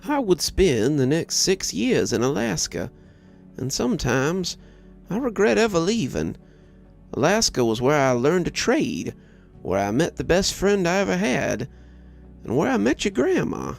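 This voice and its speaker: A man reading a scripted first-person story aloud as narrator, in a measured, reflective way. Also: a little high, sing-song, creaky, soft background music.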